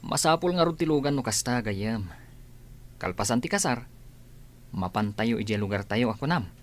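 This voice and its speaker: A man's voice: medium pitch, expressive, moderate pace, lightly acted. A man with an Ilocano accent playing a curious, teasing pal in a radio comedy-drama. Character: teasing male pal and workmate, curious and plain-spoken.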